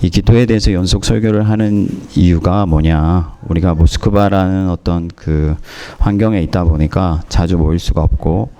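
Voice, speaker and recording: Hoarse male pastor preaching slow and measured, lowish voice, kinda mellow. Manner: measured preaching with many pauses and fillers. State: hoarse from a cold. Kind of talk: sermon. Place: church in Moscow.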